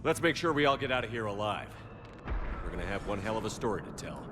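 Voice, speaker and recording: Resolute, animated military commander. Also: male voice, fairly low pitch, fast, background noise. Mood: wary, determined. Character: resolute human military commander, adult man. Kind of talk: video game voice acting.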